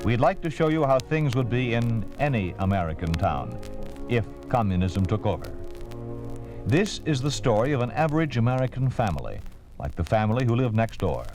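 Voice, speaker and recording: Formal 1950s radio announcer. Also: male voice, medium pitch, big pitch swings, a little mellow. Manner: measured, formal. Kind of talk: scripted radio narration. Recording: old radio broadcast with music.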